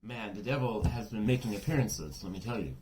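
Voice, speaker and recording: A man giving a casual tarot reading, chatty and blunt, swearing freely and full of asides, his voice a bit worn and hoarse as if under the weather. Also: lowish voice, animated, fairly quick, heavy background noise. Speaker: tarot reader.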